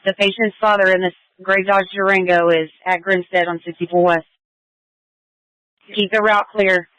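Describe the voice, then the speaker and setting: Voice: mid-range voice, little pitch movement, moderate pace, bright, forceful tone, smooth, no echo, poor audio. A police dispatcher relaying units and locations in a clipped, procedural way, heard over scanner radio.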